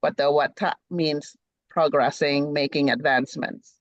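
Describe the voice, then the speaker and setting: A man's voice: fairly high pitch, steady tone, unhurried, dry recording. A man from Cambodia introducing himself as a panelist, speaking in a wry, slightly hesitant way. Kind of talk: conversational introduction. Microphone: video call. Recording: muffled video-call audio.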